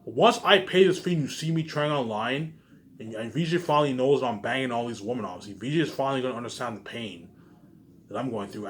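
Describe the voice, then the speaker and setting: Aggrieved, rambling man with background noise. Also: medium pitch. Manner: repetitive, halting, with phrases trailing off quietly. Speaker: online vlogger. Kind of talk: unscripted rambling monologue addressed to viewers.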